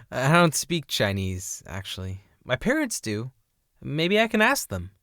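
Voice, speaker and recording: A man's voice: medium pitch, wildly swooping pitch, slow pace, fairly bright tone, no echo, clean studio sound, exaggerated voice. A man playing an easygoing, caring young boyfriend in casual horror story dialogue. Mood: amused, puzzled.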